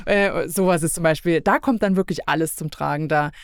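A woman's voice: deep voice, brisk, no echo, studio quality. A middle-aged woman from East Germany chatting casually as a podcast guest, talking emphatically and rambling as she corrects herself. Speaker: podcast guest, self-employed illustrator.

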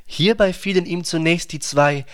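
Male narrator for a literary radio play, voice on the higher side, scripted delivery. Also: normal speed.

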